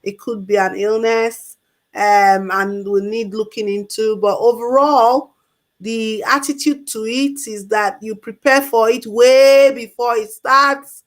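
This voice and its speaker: A woman's voice: fairly high pitch, steady tone, slow. A woman on a call-in talk show giving health advice in an emphatic way, repeating her key points. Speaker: health expert guest.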